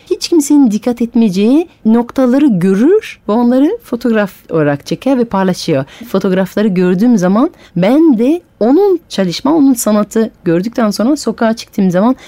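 A woman's voice: fairly high pitch, warm tone. Woman hosting a radio show. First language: French. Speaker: radio host and storyteller. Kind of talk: radio interview. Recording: music bed with long hall reverb.

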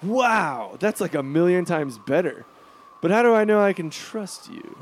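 A man's voice: high voice, big pitch swings, measured pace, heavily acted. An actor voicing a spoiled, arrogant teenage rich boy in a comedic cartoon, petulant and pompous in manner. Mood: haughty. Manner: pompous, petulant, foul-mouthed.

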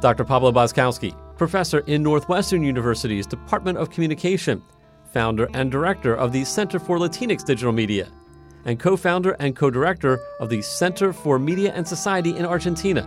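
A man hosting a podcast in a measured, formal way, asking questions and reading the credits. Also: fairly high pitch, animated, faint music behind. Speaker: podcast host.

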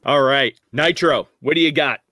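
A man's voice: mid-range voice, normal speed, bright, forceful tone, no room sound, clean studio sound. A man narrating as game master in a tabletop roleplaying game, rambling with frequent restarts. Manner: rambling, many restarts, laughs often.

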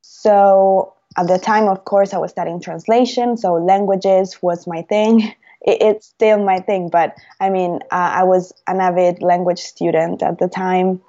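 Italian-accented woman, slow and hesitant. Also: lowish voice, fairly even intonation, warm tone, dull audio. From Italy, Rome. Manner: hesitant, many fillers. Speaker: language teacher, podcast guest. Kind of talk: interview answers.

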